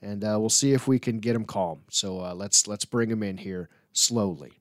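A man's voice: fairly low pitch, normal speed, a little hiss or hum. A man hosting a sports podcast in a casual, joking, teasing way. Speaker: podcast host.

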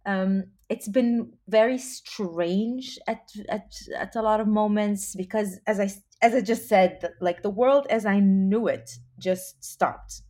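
Animated woman, laughs a lot. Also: mid-range voice, measured pace, few pauses. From Israel. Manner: animated, laughs often, frequent restarts. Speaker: singer and actress, guest. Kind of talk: casual interview chat.

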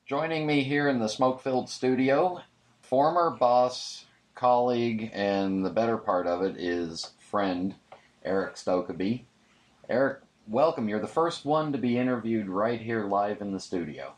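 A man's voice: mid-range voice, unhurried. A man hosting a podcast interview in a conversational style. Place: studio.

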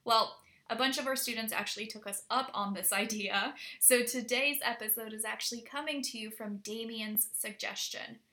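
A woman hosting a language lesson podcast, upbeat and energetic as she introduces the episode. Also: a little high. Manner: upbeat and energetic in the intro, slow and deliberate when modelling phrases. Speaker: podcast host and Polish teacher.

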